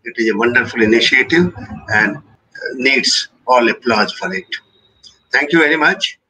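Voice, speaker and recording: A man's voice: medium pitch, lively intonation, moderate pace, pushed and bright, slight background noise, rough recording. An older man with an Indian accent, speaking as a guest presenter in formal remarks.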